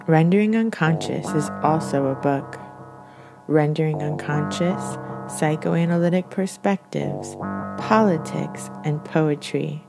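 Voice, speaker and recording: A woman hosting a podcast who reads a measured scripted introduction and spells out web addresses letter by letter. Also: low voice, vocal fry. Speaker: podcast host. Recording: guitar music under the voice.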